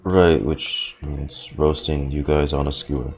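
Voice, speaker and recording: Very deep, slow-talking man streamer. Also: fairly even intonation. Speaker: streamer reacting to a game. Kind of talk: casual live commentary. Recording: game music behind. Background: video game music and dialogue.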